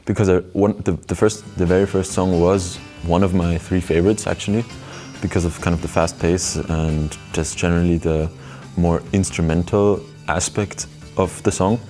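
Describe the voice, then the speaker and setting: Very deep-voiced young man. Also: fairly even intonation, moderate pace, warm tone, faint music behind. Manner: chatty, with many fillers and restarts. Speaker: interviewee. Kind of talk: casual interview answer.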